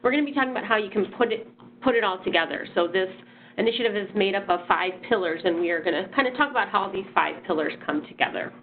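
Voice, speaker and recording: A woman hosts a webinar and walks through a presentation. She sounds a bit nasal from a sinus infection and talks in a friendly way, with frequent ums and restarts. Her voice comes through a thin, phone-like line at a mid pitch. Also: fast. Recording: phone-like audio.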